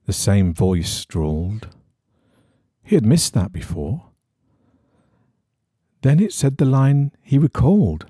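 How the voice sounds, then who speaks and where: Man, horror audiobook narration, mellow husky tone, swooping intonation, measured suspense. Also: mid-range voice, sing-song, no room sound, studio quality. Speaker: story reader. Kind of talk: scripted narration of an eerie horror story.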